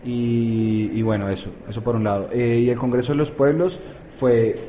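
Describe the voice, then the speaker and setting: A man's voice: mid-range voice, steady tone, moderate pace, mellow, a little hiss or hum, poor audio. A man from Colombia, answering interview questions as a youth activist, hesitant with many fillers and restarts.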